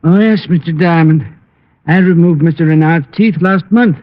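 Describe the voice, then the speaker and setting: A man's voice: a little high, normal speed, close to an everyday voice. A man playing an older, self-important oral surgeon with formal manners, in vintage radio drama style. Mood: courteous. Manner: formal, courteous, indignant when challenged. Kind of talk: 1940s-50s radio acting. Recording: muffled old radio recording.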